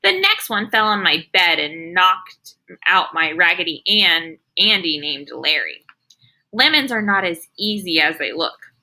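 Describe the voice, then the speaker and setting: A woman's voice: lowish voice, very animated, unhurried, pushed and bright, heavily acted, low-quality recording. A woman voicing an earnest, anxious first-grade girl telling her own storybook tale in an emphatic, childlike way. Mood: earnest, worried. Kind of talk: children's storybook read-aloud.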